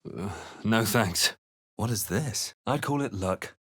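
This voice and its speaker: Low, dry-witted adventurer. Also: male voice, expressive, slow pace, a bit bright, breathy edge, heavily acted. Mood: dry, wry. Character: laconic, dry-witted male fantasy adventurer. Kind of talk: video game companion remarks.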